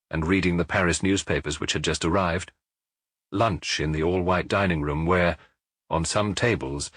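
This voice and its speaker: A man reading a detective novel aloud in a measured, expressive storytelling manner on a close studio mic. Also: sits low, slightly rough, no room sound. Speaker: audiobook reader. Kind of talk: scripted narration of a detective novel.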